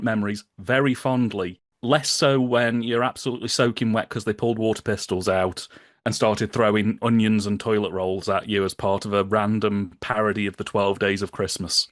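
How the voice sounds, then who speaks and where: A man's voice: lowish voice, steady tone, moderate pace, no room sound, clean studio sound. A British man speaking as a podcast guest, explaining things in an enthusiastic, chatty way with colourful colloquial phrasing. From United Kingdom. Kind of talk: enthusiastic explanatory casual chat.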